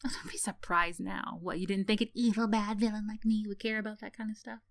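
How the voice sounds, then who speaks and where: A woman's voice: medium pitch, little pitch movement, brisk, no echo, natural voice. A woman playing a sardonic tsundere villain in a roleplay ASMR, teasing and condescending with theatrical sighs and scoffs, yet secretly caring. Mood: cynical, earnest.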